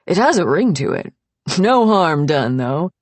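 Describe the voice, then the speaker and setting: Woman voicing a sneering young man, lively pitch swings. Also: measured pace, no room sound, exaggerated voice. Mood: mocking. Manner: drawling, almost sing-song, mocking. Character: sneering, mocking, careless young man, the brother.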